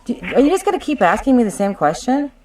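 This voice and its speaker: A woman's voice: on the high side, sing-song, quick pace, a little mellow, distant mic, a little hiss or hum. A woman arguing insistently and combatively over a legal dispute on a conference call, as a claimant representing her own case. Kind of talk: contentious legal phone discussion. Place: conference call, some distance from the mic.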